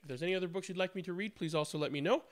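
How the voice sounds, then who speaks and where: A man in his thirties, host of a children's read-aloud, speaking in an expressive, sing-song way. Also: high-pitched, very fast, dry recording. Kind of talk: picture-book read-aloud with casual introduction. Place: indoors, small room at home.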